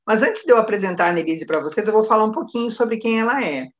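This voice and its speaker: A woman's voice: mid-range voice, animated, fast. A woman with a Brazilian accent hosting an informal live online interview in a conversational way. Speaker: host, consultancy manager interviewing a client. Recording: dull, lo-fi online call.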